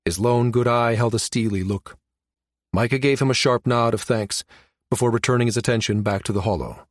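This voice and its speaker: Guy narrating a sci-fi audiobook, medium pitch, really dry recording. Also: fairly quick, dry recording. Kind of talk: scripted narration of science fiction audiobook.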